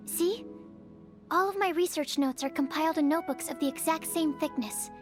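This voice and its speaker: A woman's voice: high voice, normal speed, over music, natural voice. An actress playing a shy, bookish young female alchemist in a video game, earnest and awkward, speaking in hesitant, precise, formal phrases. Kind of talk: video game character voice lines.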